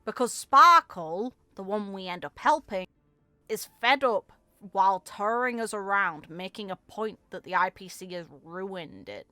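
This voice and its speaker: A woman's voice: medium pitch, expressive, measured pace, bright, forceful tone, no room sound. A woman giving casual reaction commentary on a game, musing aloud with short emphatic asides. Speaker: content creator reacting to game voicelines.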